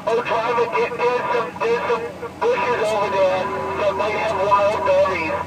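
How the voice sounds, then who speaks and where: A man voicing a stubborn, naive, deadpan heckler who taunts other drivers over CB radio. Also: sits high, measured pace. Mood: deadpan taunting. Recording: noisy CB radio transmission.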